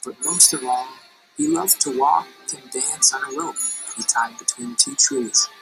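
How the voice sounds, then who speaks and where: A woman teacher reading a picture book aloud in an expressive storytelling voice, slowing down on dramatic phrases. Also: extremely low pitch, creaky, rough recording. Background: music.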